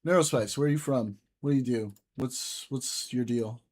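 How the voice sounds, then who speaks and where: A young man hosts a live stream, answering viewers in loose, profane banter. His voice sits at an ordinary middle pitch and his talk is casual and offhand. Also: normal speed, a little mellow. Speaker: livestream host reading chat.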